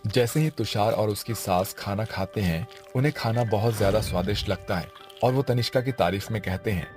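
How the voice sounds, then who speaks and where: A man's voice: on the low side, brisk, a little mellow, faint music behind. A man delivering scripted narration for a family cartoon story.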